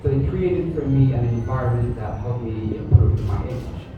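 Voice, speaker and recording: A man's voice: medium pitch, moderate pace, over loud music. Teenage boy, tinny echoey sound. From Vietnam. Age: teens. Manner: candid, with fillers like "you know". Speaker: contestant. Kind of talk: unscripted contest answers. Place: large echoey school hall. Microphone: far from the microphone. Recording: tinny, echoey hall from far off, hiss.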